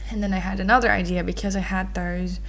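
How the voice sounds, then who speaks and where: A woman's voice: medium pitch, flat delivery, fast, fairly bright tone, slight background noise. A young Dutch woman with a Dutch accent, casually narrating her craft video in a chatty, rambling way with many fillers. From Netherlands. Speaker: craft vlogger. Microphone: voice-over recorded separately from the footage.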